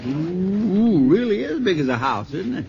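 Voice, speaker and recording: A man's voice: sits high, big pitch swings, measured pace, gravelly, highs cut off, background noise, exaggerated voice. A man playing a good-natured, folksy fire lookout in a 1950s radio drama, colloquial and eager, on an old radio recording. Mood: amazed, eager. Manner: folksy, colloquial, hesitant and drawn out.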